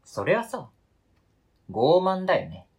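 A man's voice: fairly high pitch, huge pitch swings, takes it slowly, vocal fry, darkish tone, slightly rough, exaggerated voice. A man acting in a radio drama reading as a wounded, indignant adult who argues with cold, pedantic logic and interrogates relentlessly. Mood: relentless, contemptuous. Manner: pedantic, interrogating, formal argumentative phrasing.

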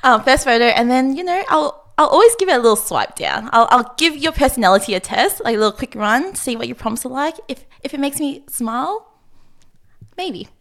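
A woman's voice: sits high, expressive, brisk, a bit bright. Chatty young woman. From Australia. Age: 20s. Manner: chatty, hesitant fillers, playful. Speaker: podcast guest. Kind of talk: casual podcast chat.

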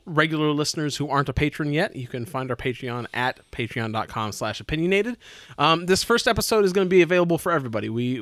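A man's voice: medium pitch, fairly bright tone, no echo. Casual American man, close dry sound. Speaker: podcast host and player. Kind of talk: casual chat introducing a tabletop game.

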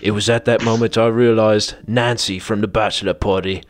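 A man's voice: on the low side, steady tone, a little fast, natural voice. A man playing a bumbling hard-boiled detective who narrates his own case in a rambling, mock-dramatic way, in a parody radio drama. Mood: brooding, muddled.

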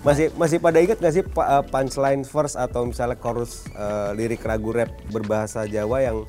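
A man hosting a podcast, asking questions in a relaxed way and ending them with tag phrases. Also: mid-range voice, moderate pace, soft background music. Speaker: podcast host. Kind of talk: interviewing.

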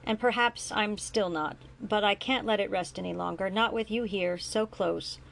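A woman's voice: medium pitch, moderate pace, creaky, a bit bright, slight background noise. A woman voicing a remorseful older father who pleads with his son for forgiveness in a read-aloud story, close to her own voice. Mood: pleading. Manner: pleading, then firm and impatient.

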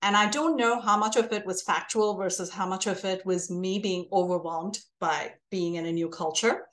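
A woman's voice: medium pitch, fairly bright tone. Reflective woman, mixed Indian-American accent. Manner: thoughtful, measured storytelling. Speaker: podcast guest. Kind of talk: reflective interview talk.

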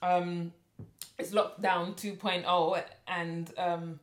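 A woman co-hosting a casual podcast chat in a loud, animated way, laughing often. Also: lowish voice, takes it slowly, reverberant. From London, UK. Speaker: podcast co-host.

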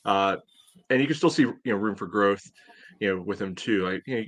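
A man's voice: fairly low pitch, a little fast, dry recording. A man co-hosting a sports podcast, giving casual analysis with a dry, wry manner. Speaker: podcast co-host.

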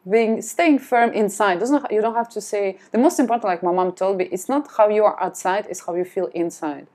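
A woman whose first language is Russian, chatting as a podcast guest in a lively, self-deprecating way. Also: mid-range voice, fairly quick. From France. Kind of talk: casual chat.

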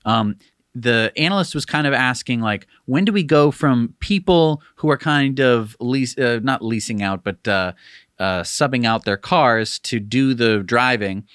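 A man's voice: mid-range voice, lively intonation, a little slow, fairly bright tone, clean tone, dry recording, clean studio sound. A man co-hosting with conversational commentary, offering his own opinions in informal, qualified asides. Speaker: co-host.

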